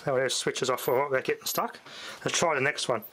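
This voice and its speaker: Offhand man over loud background noise. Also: mid-range voice, fast. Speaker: hobbyist demonstrating radio equipment. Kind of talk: casual unscripted hands-on demo commentary. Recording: loud ambient noise throughout.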